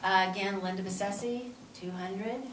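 A middle-aged woman giving a measured, explanatory talk on financial aid with frequent fillers, speaking at a podium in a lecture room. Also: medium pitch, steady tone. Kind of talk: informational presentation. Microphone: far camera. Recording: echoey room, far camera, room noise.

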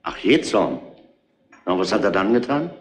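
Brusque, lively-voiced police inspector. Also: male voice, sits low, moderate pace. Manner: brusque, insistent questioning. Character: gruff, grumbling male police inspector in charge. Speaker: radio drama actor. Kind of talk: retro German crime radio play acting.

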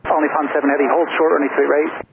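A man's voice: a little high, level tone, racing, creaky. A man giving clipped procedural instructions as an air traffic controller over aviation radio. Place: airport control tower radio. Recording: noisy, tinny aviation radio transmission.

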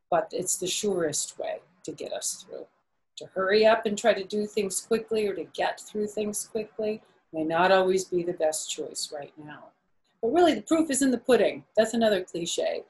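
A woman's voice: mid-range voice, darkish tone, poor audio. Middle-aged woman hosting children's storytime. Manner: simple explanations with questions to young listeners. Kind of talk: reading and explaining a fable to children. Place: indoors, small living room on a sofa.

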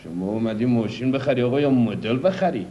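An actor playing a boastful, pompous used-car dealer who swings between loud and hushed delivery, in a comedy sketch. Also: male voice, sits high, expressive, a little hiss or hum. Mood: scheming. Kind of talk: old comedy sketch acting. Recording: dull lo-fi old recording, echoey.

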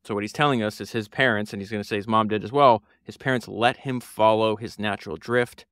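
A man's voice: fairly low pitch, fairly even intonation, a little fast, fairly bright tone, smooth, no room sound, studio quality. A man, hosting a solo podcast and talking through a book in an earnest, rambling way with many fillers and restarts. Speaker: podcast host.

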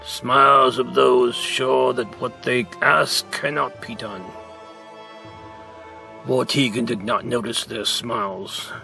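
A man reading a storybook aloud in a deliberate, theatrical narrating style. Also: medium pitch, fairly even intonation, gravelly, pushed and bright, soft background music. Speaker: story reader.

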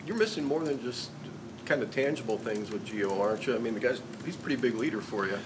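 A male reporter asks questions at a press conference in a casual, halting way, with restarts and fillers. Clear room noise hangs around his voice, and his pitch sits in the middle range. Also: expressive.

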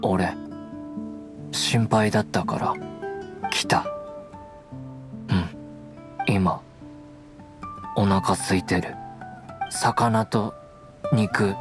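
A man voicing an earnest, protective wild boy in a Japanese anime game, speaking in halting, blunt fragments. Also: on the low side. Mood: concerned. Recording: studio voice over game music.

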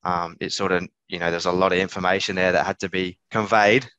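A man in his thirties, a business owner giving casual, halting interview answers, thinking aloud. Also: deep voice, steady tone, sharp tone, clear, resonant tone, dry recording. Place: small office on a video call. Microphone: headset. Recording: slightly dull video-call audio.

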